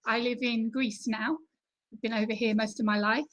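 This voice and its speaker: Chatty British woman with a high voice. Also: steady tone, moderate pace. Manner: chatty, laughs easily, many fillers. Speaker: guest author. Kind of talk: casual interview over video call.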